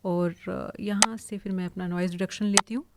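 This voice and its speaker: An Indian woman giving an informal, explanatory software tutorial, mixing English words into her speech. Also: medium pitch, fairly even intonation, normal speed. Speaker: voice-over artist giving a tutorial. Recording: clearly hissy home recording.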